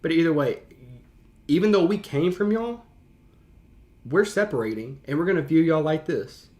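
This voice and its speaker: A man's voice: a little high, animated, moderate pace, darkish tone. A man giving casual, enthusiastic reaction commentary as a streamer, speaking in informal slang and often repeating himself. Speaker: teacher and streamer hosting a reaction live stream.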